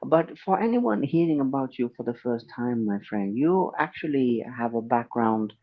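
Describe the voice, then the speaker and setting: A man's voice: mid-range voice, animated, moderate pace. Man, podcast host, slight sore throat, polite, effusive, a bit dull lo-fi sound. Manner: polite, effusive, thanks guests warmly. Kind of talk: friendly interview chat.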